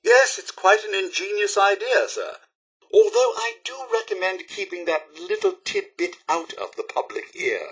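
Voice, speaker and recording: Bright British robot butler, swooping intonation, fussy, deferential, loyal, video game companion. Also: male voice, high voice, normal speed, husky, full character voice. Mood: courteous, attentive. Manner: formal, prim, deferential, theatrically expressive.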